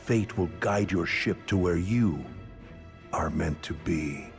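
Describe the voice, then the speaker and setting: An actor playing a sinister, solemn older scholar in a video game, speaking in a grave, portentous manner. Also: male voice, deep voice, takes it slowly, vocal fry, soft background music, heavily acted. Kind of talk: scripted video game cutscene acting.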